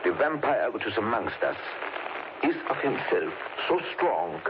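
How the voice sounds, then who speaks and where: An actor playing a grave, commanding male professor who hunts vampires, speaking in deliberate, urgent oratory in a 1930s radio drama. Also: on the low side, sing-song. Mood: grave warning. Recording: dull, noisy old radio broadcast.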